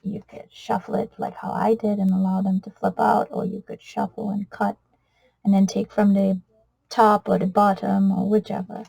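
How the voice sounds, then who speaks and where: A middle-aged Trinidadian woman reads oracle cards in an earnest, soft-spoken way, often falling to a whisper. Her voice is husky and gravelly, with a flat, steady pitch. The recording sounds dull and low-fi. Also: moderate pace. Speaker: oracle card reader. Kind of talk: spiritual card reading video.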